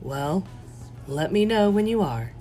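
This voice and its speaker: A woman voicing a guarded, gruff but courteous male police detective in an audiobook, measured and terse. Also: medium pitch, animated, mellow, faint music behind, close to an everyday voice. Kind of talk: audiobook character voice.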